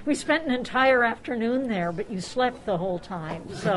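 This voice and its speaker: A woman's voice: medium pitch, animated, unhurried, a little hiss or hum, poor audio. A woman hosting an informal gathering in a busy restaurant, chatty and self-deprecating, speaking off the cuff with jokey exclamations. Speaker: luncheon host welcoming club members. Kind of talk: informal, unscripted welcome to a gathering. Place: club luncheon in a restaurant.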